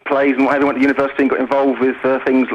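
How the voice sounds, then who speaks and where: British male drama director, rapid effusive interview talk, mid-range voice on slightly dull radio audio. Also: medium pitch, monotone, a little hiss or hum. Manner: effusive, talkative. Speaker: pantomime director and drama teacher, interview guest.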